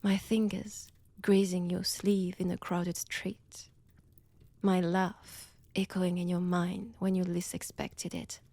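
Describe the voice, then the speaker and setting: A seductive female vampire with a French accent, acted by a woman, purring threats to her prey in an intimate audio drama. The voice is breathy and often sinks toward a whisper. Delivery is very unhurried, with teasing, menacing pauses, and the sound is close and dry. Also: lowish voice, level tone, warm tone, no room sound. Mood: gloating, teasing. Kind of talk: intimate audio roleplay, addressed to the listener.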